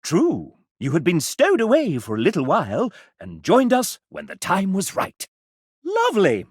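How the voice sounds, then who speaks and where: A man's voice: high-pitched, wildly swooping pitch, a little slow, breathy edge, dry recording, studio quality, heavily acted. An actor playing a sassy, theatrical male guide, narrating a video game in an arch, chatty way. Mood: gleeful.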